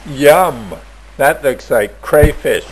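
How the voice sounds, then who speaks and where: A man narrating a travel video in a plain, measured voice-over. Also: medium pitch, animated, slight background noise. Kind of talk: slow scripted travel voice-over.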